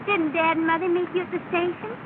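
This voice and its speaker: A woman's voice: very high-pitched, steady tone, fast. An actress playing a poised, kindly, lightly teasing young society woman in a 1930s film. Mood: pleased, polite. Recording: tinny, noisy 1930 film soundtrack.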